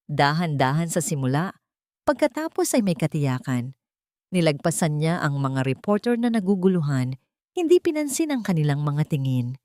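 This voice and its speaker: Woman narrating a drama story, lowish voice, dry no-room sound. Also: darkish tone, no echo. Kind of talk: scripted audiobook narration.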